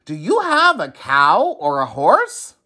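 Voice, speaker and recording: Village wise man, voiced by a man: calm storybook sage, unhurried, pitched up. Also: heavily acted. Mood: calm advising. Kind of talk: children's storybook character voice.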